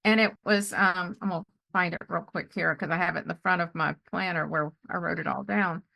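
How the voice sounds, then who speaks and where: A middle-aged woman chatting casually as a podcast host, telling personal stories of faith in an animated way and often acting out what people said. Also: lowish voice, fairly quick, vocal fry, low-quality recording. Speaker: podcast host and life coach. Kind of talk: casual conversational storytelling with faith testimony.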